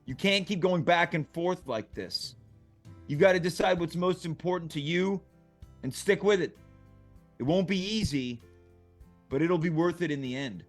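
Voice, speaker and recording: A man's voice: on the high side, animated, moderate pace, long pauses, faint music behind, lightly acted. A man playing a stern but kindly senior prison inmate in a comedic table read, firm and encouraging.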